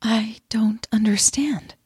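A woman voicing a smitten, feisty but anxious woman in love in an audio drama, breathy and expressive. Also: fairly high pitch, slow pace, no echo, clean studio sound, voice pushed up, heavily acted. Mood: anxious, confused.

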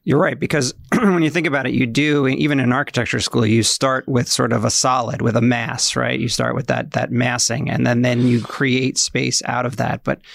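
A man's voice: mid-range voice, little pitch movement, moderate pace, vocal fry, hardly any pauses, fairly bright tone, no room sound. A man hosting a podcast, encouraging his guest and using hesitant fillers. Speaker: podcast host. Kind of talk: casual interview chat.

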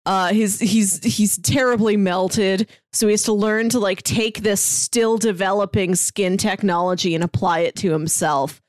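A woman's voice: mid-range voice, measured pace, hardly any pauses, no room sound, studio quality. A woman in her thirties chatting casually as a podcast co-host, with a wry, rambling way of explaining things. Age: 30s. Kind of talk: casual pop culture chat.

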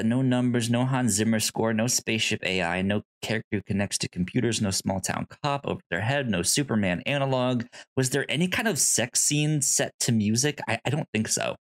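A man co-hosting a podcast in casual movie chat, with a halting, hesitant delivery. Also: fairly low pitch. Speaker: podcast co-host.

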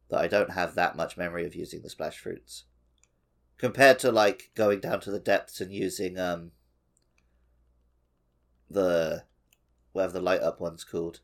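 A man's voice: sits low, vocal fry. A man co-hosting a gaming podcast, chatting in an informal, conversational way. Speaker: podcast co-host. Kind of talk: casual video game discussion.

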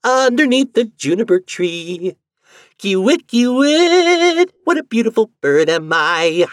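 Enchanted storybook bird, played by a man: high, eerie, drawn-out singsong, proud and mournful. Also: wildly swooping pitch, darkish tone, breathy edge, dry recording, clean studio sound, full character voice. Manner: slow, drawn-out singsong verse.

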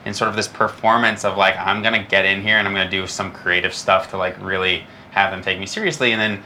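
A man's voice: deep voice, fairly even intonation, fast talker, sharp tone, slight background noise. A man hosting a podcast, asking long, winding questions full of hedges and restarts. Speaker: podcast host. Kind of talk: interview questions.

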